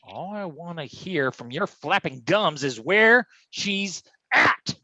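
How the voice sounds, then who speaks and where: A blustering private eye from a noir stage comedy, acted out by a man, slangy and theatrical. His voice is bright and forceful, leaping around in pitch and sitting a little high for a tough guy, with a dry, close sound. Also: very animated, slow pace, no room sound, heavily acted. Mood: exasperated bluster. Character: hard-boiled, blustering, dim male private detective.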